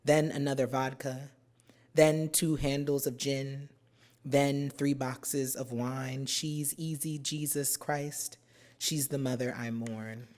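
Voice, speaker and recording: An American woman from New Jersey giving a poetry reading, chatting through her introductions with many fillers and reading the verse slowly and with care. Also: very deep voice, fairly even intonation, darkish tone. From New Jersey, USA. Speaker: poet. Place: university symposium venue.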